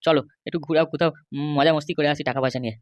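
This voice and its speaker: A man's voice: on the high side, fairly even intonation, racing, dull audio, natural voice. A man voicing a henpecked, pleading middle-aged cartoon husband with a West Bengal accent. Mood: wheedling. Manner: wheedling, grumbling. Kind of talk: comic cartoon dialogue.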